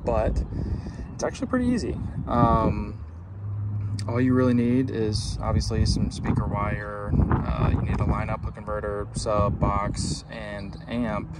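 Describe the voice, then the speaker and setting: Low, gravelly man over background noise. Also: unhurried. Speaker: DIY video presenter. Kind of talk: casual hands-on walkthrough. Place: inside a parked pickup truck. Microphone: handheld phone camera. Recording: clear background noise, vehicle ambience.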